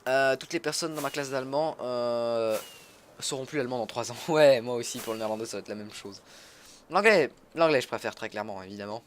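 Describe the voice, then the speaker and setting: A man's voice: medium pitch, a bit bright, a little hiss or hum. A young man from Alsace, chatting casually while streaming a game, greeting viewers and correcting himself mid-sentence. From Alsace, France. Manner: chatty, self-interrupting, greets chat viewers. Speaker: livestreamer. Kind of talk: casual gameplay livestream commentary. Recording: music playing behind the voice.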